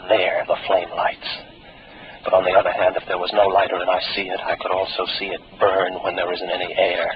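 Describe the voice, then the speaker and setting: An American actor playing a weary, skeptical space station officer in a 1950s radio drama, with a wry, detached, musing manner. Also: male voice, sits low, monotone, a little fast, natural voice. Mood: doubting, reasoning. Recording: muffled, noisy 1950s radio broadcast.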